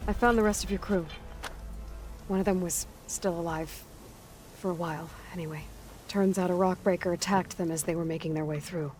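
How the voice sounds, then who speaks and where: An actress playing a terse, capable young huntress in a video game, speaking in a level, matter-of-fact way. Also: female voice, on the low side, steady tone, a little fast, darkish tone, faint music behind, close to an everyday voice. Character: terse capable female hunter, young adult. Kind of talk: video game cutscene acting.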